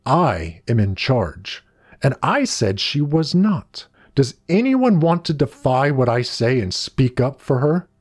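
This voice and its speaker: A man's voice: mid-range voice, expressive, moderate pace, darkish tone, breathy edge. A man playing a domineering, self-righteous male schoolteacher in a story, stern and emphatic, drawing out his words. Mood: stern accusing. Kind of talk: scripted story dialogue.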